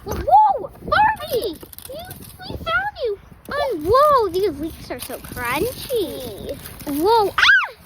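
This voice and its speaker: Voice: extremely high pitch, huge pitch swings, very slow. A child hosting an improvised doll pretend-play video, speaking as the toys while playing outdoors. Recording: loud noise with clicks and rustling.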